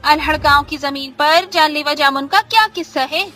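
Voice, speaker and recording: A man voicing a frightened village woman in a horror cartoon, pleading in a shrill way. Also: moderate pace, bright, forceful tone, faint music behind.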